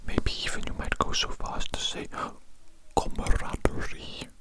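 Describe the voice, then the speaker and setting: A performer voicing an eager, odd alien doctor who poses as a human man of science, in a slow breathy ASMR whisper, repeating phrases in stilted, formal wording. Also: natural voice. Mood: soothing, eager.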